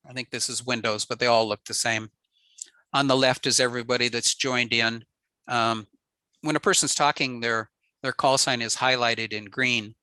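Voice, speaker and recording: A man giving a relaxed technical slide talk on a radio network, explaining with frequent ums and uhs. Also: mid-range voice, normal speed, clear, resonant tone, dry recording. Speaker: ham radio presenter.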